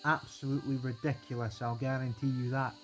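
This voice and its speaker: A man's voice: medium pitch, level tone, a little fast, a bit bright, faint music behind, natural voice. A man playing an eager, chatty male train traveller in a story, earnest and exclamatory.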